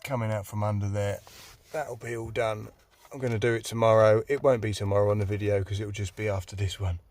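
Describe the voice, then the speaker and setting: A man's voice: fairly low pitch, little pitch movement, a little fast, a little mellow. A British man chatting casually through a DIY job in a car, rambling with wry, self-mocking grumbles. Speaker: hobbyist filming a car radio install. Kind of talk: casual DIY vlog chat. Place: inside a car.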